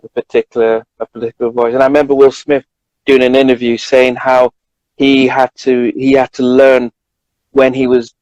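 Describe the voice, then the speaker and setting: A man's voice: mid-range voice, level tone, normal speed, darkish tone, no echo, muffled recording. British male co-host, mid-range voice, dry sound, informal discursive chat. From Britain. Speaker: co-host, journalist. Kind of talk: casual chat.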